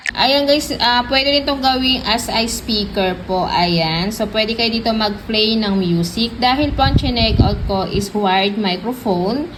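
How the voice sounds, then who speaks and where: A woman with a Filipino accent giving a casual, chatty product demo and sales pitch, often addressing her viewers directly. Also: unhurried, background noise. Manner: chatty, repeats filler tags and addresses viewers. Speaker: product reviewer and live seller.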